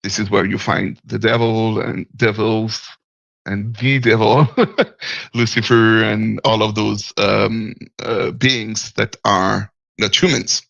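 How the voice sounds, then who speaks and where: Gravelly man, hesitant. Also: on the low side, level tone, slow, a bit bright, dry recording, highs cut off. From Martinique. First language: French. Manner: hesitant, searching for words, chuckles often. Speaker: caller. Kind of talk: call-in discussion.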